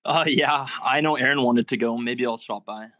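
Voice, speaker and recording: A man's voice: medium pitch, normal speed, pushed and bright, close to an everyday voice. A man acting a flustered, anxious teenage guy in a conversational comedy script read. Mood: flustered, eager.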